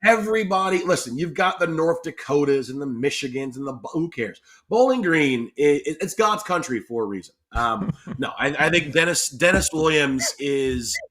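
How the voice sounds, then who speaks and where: A man's voice: fairly high pitch, expressive, moderate pace, hardly any pauses, fairly bright tone. A man talking animatedly as a sports podcast guest, with an emphatic, playful delivery like a salesman's. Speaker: guest, radio play-by-play announcer.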